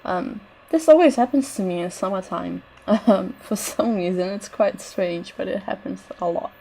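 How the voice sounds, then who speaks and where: A woman's voice: medium pitch, normal speed, gravelly, warm tone, a little hiss or hum, rough recording. A woman with a bad cold, her voice straining, giving casual rambling commentary over a building game with lots of "I don't know" asides. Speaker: game builder narrating a renovation.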